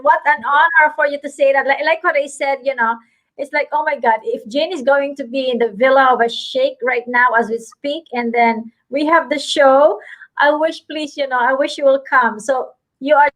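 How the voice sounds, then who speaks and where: A chatty Filipina woman with a Filipino accent hosts a casual online talk show. Her voice sits a little high. She gushes and piles on warm greetings. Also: steady tone, normal speed, rough recording. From Philippines. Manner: effusive, chatty, gushing greetings.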